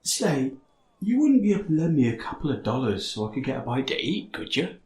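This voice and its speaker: A man's voice: medium pitch, sing-song, fairly quick, darkish tone, roomy sound, lightly acted. An actor playing a humble, down-on-his-luck street man in an audio drama, apologetic, effusive and folksy. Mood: apologetic, pleading.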